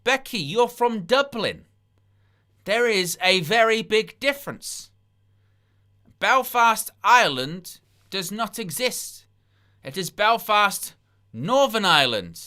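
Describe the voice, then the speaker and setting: A man's voice: sits high, very animated, takes it slowly, long pauses, pushed and bright. A man hosting a live wrestling watchalong, rambling through incredulous asides and reading chat comments aloud between plugs for likes. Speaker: wrestling livestream host.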